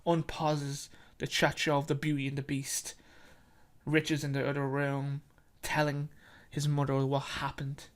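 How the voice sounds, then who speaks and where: A person with an Irish accent narrating a short story, with a halting, self-correcting delivery. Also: mid-range voice, completely flat delivery.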